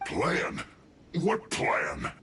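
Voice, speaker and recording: A man's voice: mid-range voice, huge pitch swings, extremely slow, pushed and bright, slight background noise, heavily acted. A man playing a flustered, defensive enemy soldier in a video game, exasperated and pleading. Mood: evasive, sheepish. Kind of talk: video game character dialogue. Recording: echoey, game music behind.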